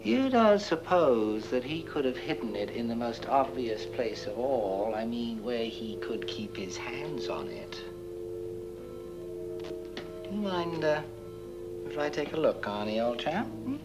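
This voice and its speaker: A male actor plays an arch, scheming man in a horror-comedy film. His delivery is theatrical and excitable, moving widely in pitch. Loud music sits behind the voice. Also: a little slow, close to an everyday voice, rough recording. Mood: sly, gleeful. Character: sly, scheming man hunting for hidden money.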